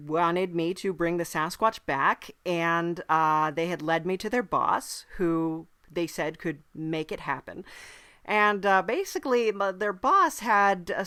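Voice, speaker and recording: Low-voiced deadpan woman as podcaster from Hell. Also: clear, resonant tone, no echo, clean studio sound. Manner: halting, hesitant, deadpan. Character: wry, deadpan podcaster living in Hell, devil's apprentice. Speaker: podcast host. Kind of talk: improvised in-character comedy storytelling.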